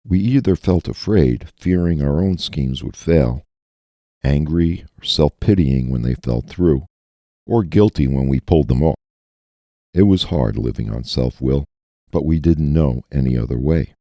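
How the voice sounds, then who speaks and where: A man's voice: rumbling low, a little slow, warm tone, dry recording. A man in recovery earnestly reading a daily meditation passage aloud.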